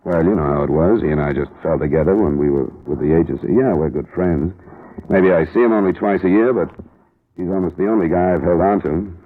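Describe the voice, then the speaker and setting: An American actor playing a dogged, calm private investigator in a 1950s radio drama, terse and probing. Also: male voice, sits low, fairly quick. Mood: easygoing, guarded. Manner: terse, probing, hard-boiled. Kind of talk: 1950s radio detective drama acting, first-person narration and dialogue. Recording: muffled, lo-fi old radio recording.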